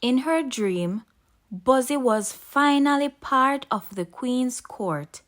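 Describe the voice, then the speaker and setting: A woman reading a scripted children's story as a narrator, expressive and unhurried, with deliberate pauses. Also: sits high. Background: background music.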